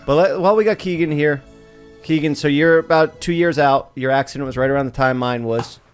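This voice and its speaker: This man in his thirties hosts a podcast from a small studio, speaking close into a boom-arm mic. He is from New York and keeps the chat upbeat and joking, egging his guests on. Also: a little fast, clear, resonant tone, soft background music. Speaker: podcast host. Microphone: studio mic on boom arm, headphones.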